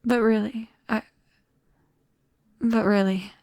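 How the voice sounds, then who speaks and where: A British woman playing a nervous, tender vampire best friend in an ASMR roleplay, speaking softly and haltingly with drops into whispers. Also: on the high side, fairly even intonation, dry recording, studio quality. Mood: tender, sincere. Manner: hesitant, halting, breaking into whispers. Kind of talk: ASMR roleplay, soft intimate acting. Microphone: close microphone, dry.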